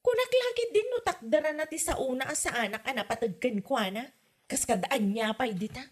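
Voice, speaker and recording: A woman with an Ilocano accent playing a brash, dramatic young mother in a comedy drama, with exclaiming, drawn-out delivery. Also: sits high, animated. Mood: complaining. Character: brash, dramatic young mother defending her love life.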